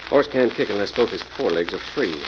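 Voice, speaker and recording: Actor as an obliging low-voiced horseman, muffled radio. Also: animated, fairly quick, close to an everyday voice. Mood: earnest, troubled. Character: smooth, obliging horseman, friend of the stable owner. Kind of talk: 1940s radio mystery acting. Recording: muffled old radio recording, heavy crackle.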